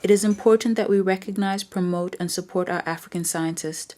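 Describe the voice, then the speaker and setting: A woman with a Zambian accent reads a scripted documentary narration in a measured, earnest way. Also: medium pitch, steady tone, dark tone. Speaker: film narrator. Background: background music.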